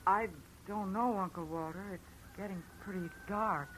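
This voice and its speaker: A woman acts a sullen young niece on a farm in vintage radio drama. Her voice is bright and middle-pitched, with a curt, folksy, hesitant delivery. There is light noise on the old recording. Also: measured pace, lightly acted, poor audio. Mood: uneasy. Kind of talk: 1940s radio acting.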